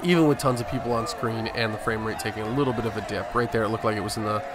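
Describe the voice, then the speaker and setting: A man's voice: on the low side, very fast, very noisy. A man giving casual, boastful gameplay commentary, narrating each move as a gaming video host.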